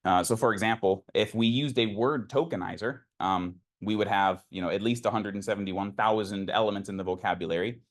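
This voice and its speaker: A man's voice: on the low side, fairly even intonation, a little fast, few pauses. A man giving an informal academic lecture, explaining in a conversational way and thinking aloud as he takes questions. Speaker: lecturer presenting a technical talk.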